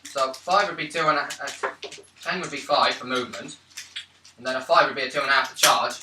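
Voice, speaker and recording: A man's voice: medium pitch, fairly quick. Blunt man in a noisy, echoey room. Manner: blunt, corrective, swears casually. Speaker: tabletop role-playing game player. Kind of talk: casual game-table chat. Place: around a gaming table in a room. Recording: echoey room, distant mic, noisy background.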